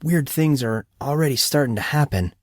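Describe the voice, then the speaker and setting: A man's voice: medium pitch, animated, normal speed, no room sound, clean studio sound, pitched above the performer's own voice, natural voice. A man voicing a puzzled teenage boy in an audiobook, wondering aloud informally. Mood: baffled.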